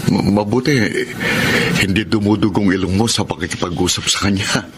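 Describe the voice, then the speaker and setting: A man acting a heartbroken lover in a Filipino radio drama. His low voice is earnest, halting and melodramatic, with a Filipino accent, under loud background noise. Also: steady tone, natural voice. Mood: hurt, resigned. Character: heartbroken, self-sacrificing young working man in love.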